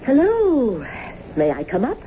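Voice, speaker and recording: A woman's voice: high voice, huge pitch swings, measured pace, full character voice. An actress playing a genteel, eccentric lady landowner in a radio drama, speaking with effusive, old-fashioned formality. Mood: gracious, effusive. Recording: dull, noisy old radio broadcast.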